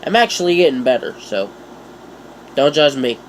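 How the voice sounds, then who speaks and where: A young man talks with a blocked, stuffy nose from a cold, rambling from one thought to the next with jokey, self-mocking asides. His pitch sits in the middle for a man. A faint air conditioner hum runs under his voice. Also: sing-song, moderate pace, poor audio. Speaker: YouTuber. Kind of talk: casual vlog talk.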